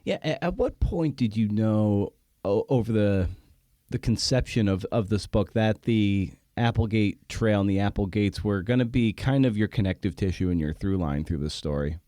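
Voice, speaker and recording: Guy podcast host, soft dark low voice, casual, kinda halting and rambly as he thinks out loud. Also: dry recording, studio quality. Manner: halting, thinks aloud in meandering sentences. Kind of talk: casual interview conversation.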